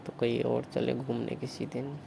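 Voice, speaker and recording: Man acting as a flirty resigned brother-in-law, heavy vocal fry, mid pitch, low-key phone chat, noisy recording. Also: level tone, normal speed. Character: resigned, flirty brother-in-law on a secret late-night call. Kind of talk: intimate staged phone-call acting in casual Hindi.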